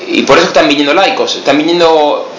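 Sacerdote argentino, sala con eco. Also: male voice, fairly high pitch, fast, fairly bright tone, reverberant, muffled recording, slight background noise. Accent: Argentine Spanish, Buenos Aires. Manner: emphatic rhetorical questions answered with a firm no. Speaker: missionary priest. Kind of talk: informal talk to a small group.

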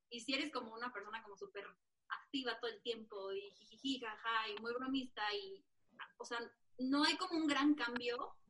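A woman's voice: on the high side, bright, forceful tone. A young woman, an encouraging, enthusiastic interviewer in a casual chat. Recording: distant mic with faint noise.

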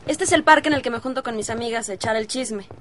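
A woman's voice: fairly high pitch, fast, fairly bright tone, soft background music, natural voice. An actress playing a chatty, gossipy teenage girl with a Mexican accent, confiding colloquially in a radio soap. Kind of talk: radio soap opera acting.

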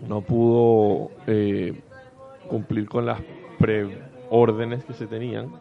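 A man's voice: fairly low pitch, flat delivery, takes it slowly, creaky. A man chatting casually as a panelist on a podcast, in a slightly dull recording. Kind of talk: casual chat.

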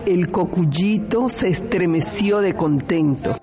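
A woman narrating a children's story in an amateur radio play, in a slow, careful storybook manner. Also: on the low side, music in the background.

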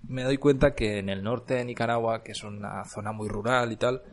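A man in his thirties from Barcelona, hosting a podcast and reflecting on his life abroad in an unhurried monologue full of fillers. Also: medium pitch, steady tone. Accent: Barcelona Spanish. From Catalonia, Spain. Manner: reflective, frequent fillers and restarts. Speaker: podcast host. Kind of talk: personal storytelling monologue. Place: Granada, Nicaragua.

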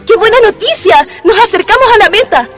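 A woman's voice: extremely high pitch, expressive, brisk, over music. A woman playing an eager young girlfriend. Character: earnest young girl friend of the candidate.

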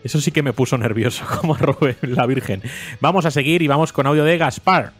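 A man's voice: medium pitch, a little fast, husky, soft background music. A man hosting a casual gaming podcast, joking with his co-hosts and laughing often. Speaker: podcast host. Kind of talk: casual chat.

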